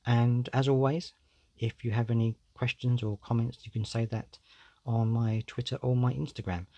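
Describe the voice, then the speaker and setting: A man's voice: mid-range voice, steady tone, moderate pace, warm tone, no room sound, muffled recording. A man, hosting a solo podcast in a candid, conversational way, often saying "you know". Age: 40s. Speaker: podcast host.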